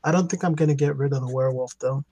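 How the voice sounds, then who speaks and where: A man's voice: mid-range voice, racing, dark tone, breathy edge, no room sound, lo-fi sound. A man talking casually as a panelist in a gaming roundtable podcast.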